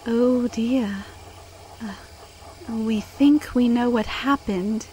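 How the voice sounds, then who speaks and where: A woman's voice: a little high, drawn-out words, darkish tone, a little hiss or hum, poor audio. A woman playing a caring female rescue crane in an audio drama. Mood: grave, hesitant.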